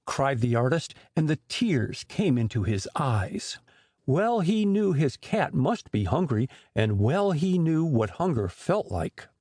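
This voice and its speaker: A man narrating a storybook audiobook in an expressive storytelling manner. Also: on the high side, slow pace, no room sound, clean studio sound.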